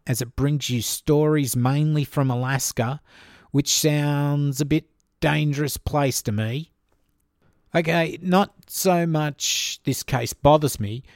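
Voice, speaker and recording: A man's voice: medium pitch, a little mellow, no echo, studio quality. A man with an Australian accent hosting his own podcast, answering listener questions in a chatty, informal way. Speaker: podcast host.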